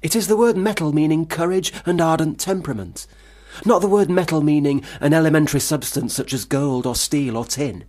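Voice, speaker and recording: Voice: mid-range voice, a little fast, a little mellow, lightly acted. An actor in a radio play playing a bossy, imaginative young boy who speaks in a pompous, pedantic, declaiming way. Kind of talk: radio drama acting.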